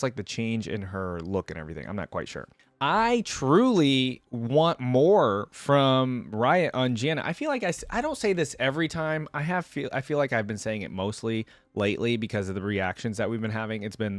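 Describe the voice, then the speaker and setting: A man's voice: medium pitch, very animated, moderate pace, dry recording. Young man, dry close sound. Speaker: reaction video host. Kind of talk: casual chat, rambling commentary.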